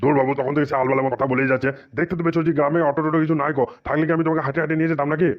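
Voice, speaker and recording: Man voicing a blustering fast-talking older village father. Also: a little high, level tone, lo-fi sound, natural voice. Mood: defensive. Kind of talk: comedic cartoon dubbing.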